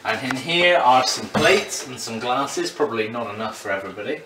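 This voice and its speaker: A young man leading a jokey tour of a small house, talking in a deadpan tour-guide manner. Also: medium pitch, brisk. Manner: deadpan mock-tour-guide delivery, drops to whispers. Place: small rented house, indoors. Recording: echoey rooms, camera off at a distance, noisy.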